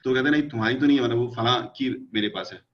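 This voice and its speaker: Man, co-teacher, talkative with fillers, mid pitch, lo-fi call audio. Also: racing. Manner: talkative, fillers like "matlab" and "achha yaar". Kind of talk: casual explanation with examples.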